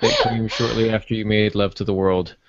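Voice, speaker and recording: Gravelly man, wry podcast banter. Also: on the low side, steady tone, brisk, dull audio. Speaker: podcast co-host. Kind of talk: casual banter.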